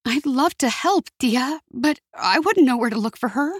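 A woman's voice: sits high, lively intonation, normal speed, husky, no echo, clean studio sound, voice pushed up. A woman voicing a shaken counselor who fires off anxious, urgent questions. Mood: alarmed.

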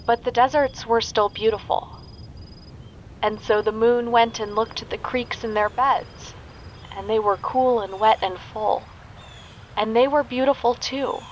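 A woman in an audio drama telling a fairy tale in a slow, gentle and deliberate way. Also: a little high, fairly even intonation, dull audio, a little hiss or hum, lightly acted. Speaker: storyteller. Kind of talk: audio drama, telling a bedtime-style story.

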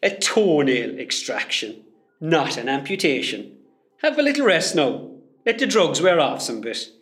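An actor with a West Cork Irish accent playing a cheeky, teasing young hospital worker in a comedy radio play. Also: male voice, fairly high pitch, very animated, a little slow, fairly bright tone. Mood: teasing, reassuring. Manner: teasing, jokey.

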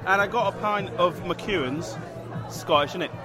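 A tipsy British man chats casually as a podcast co-host. His voice sits a little high, and music and bar chatter are clearly heard around him. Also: normal speed. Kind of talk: casual chat. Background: music and crowd.

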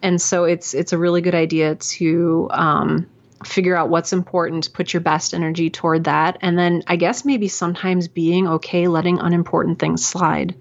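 A woman's voice: lowish voice, level tone, normal speed, vocal fry. A woman answering interview questions conversationally as a podcast guest, informal and self-deprecating as she thinks aloud. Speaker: podcast guest, self-employed creative professional. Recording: dull, lo-fi audio.

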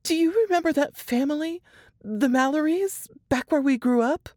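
A woman's voice: high-pitched, normal speed, darkish tone, dry recording, clean studio sound, pitched above the performer's own voice, close to an everyday voice. A woman narrator voicing a distraught, frightened woman who speaks in a shaky, quivering voice. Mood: urgent. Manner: trembling, tearful telling. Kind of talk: audiobook character voice.

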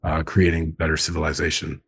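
Earnest husky man in his forties. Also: a bass voice, completely flat delivery, a little fast. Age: 40s. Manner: earnest, repeats phrases for emphasis. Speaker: guest, men's group co-founder. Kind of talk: casual podcast conversation.

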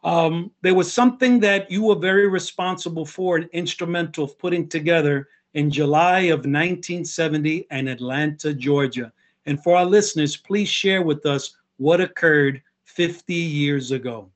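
A man introduces his guest on a podcast in a measured, earnest way, pausing now and then with small fillers. His voice sits a little high for a man. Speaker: podcast host. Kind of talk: warm formal interview introduction.

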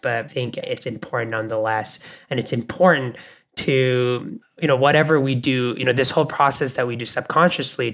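A man co-hosting a podcast, sharing reflective takeaways in a measured, thoughtful way with frequent fillers. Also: mid-range voice, creaky, fairly bright tone. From Bangladesh, Canada and the US. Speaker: podcast co-host.

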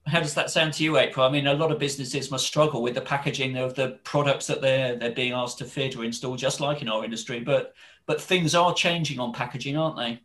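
A man's voice: mid-range voice, steady tone, fast talker, fairly bright tone. A man with a mid-range voice guides a panel discussion. He talks conversationally, restarting his sentences as he puts questions to the guests. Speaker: panel moderator.

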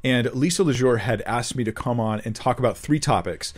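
A man's voice: medium pitch, fairly quick. A man who is a pastor and Bible teacher, explaining his work earnestly as a guest on a video call. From Southern California. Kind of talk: interview guest answering questions.

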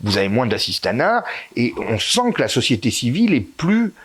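A man's voice: mid-range voice, big pitch swings, a little fast, husky. A man of Italian origin, a guest in a political interview, talking with many fillers and restarts and pushing hard on key words. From Italy. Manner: hesitant, frequent fillers and restarts, emphatic rises.

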